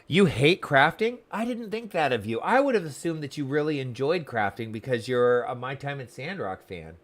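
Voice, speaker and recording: A man's voice: mid-range voice, sing-song, normal speed. A man chatting casually on a livestream, commenting on the game he plays and talking to his viewers. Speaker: livestreamer playing an online game.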